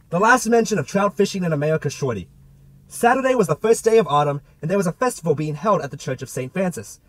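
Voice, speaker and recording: A man's voice: high voice, low-quality recording. A man narrating a literary novel as an amateur audiobook reader, with a theatrical delivery.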